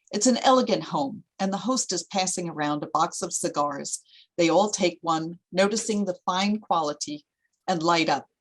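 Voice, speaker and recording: Woman narrator, lowish pitch, husky tone, measured, reading scene directions from a screenplay. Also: rough recording.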